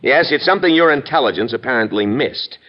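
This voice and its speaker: Man as wry, measured mayor with swooping intonation. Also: medium pitch, lively intonation, natural voice. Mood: composed, shrewd. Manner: measured, formal, dryly ironic. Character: calm, wry, skeptical small-city mayor, civil but shrewd. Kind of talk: classic radio drama acting. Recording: dull vintage radio recording.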